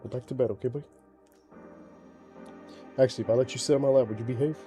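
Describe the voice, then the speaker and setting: A man with a boomy voice chats casually while streaming a game from home. He rambles in a laid-back way and stops now and then to talk to his pet. Faint music plays behind him. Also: fairly even intonation, quick pace, dark tone. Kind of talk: casual livestream chat. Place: home, indoors. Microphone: desk microphone.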